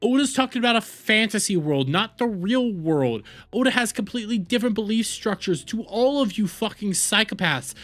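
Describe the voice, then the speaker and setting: A man's voice: high voice, animated, normal speed, hardly any pauses, a bit bright, faint music behind. A man hosting a reaction video, ranting angrily with lots of swearing and repetition. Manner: heated, profane, repetitive ranting. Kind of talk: angry commentary rant.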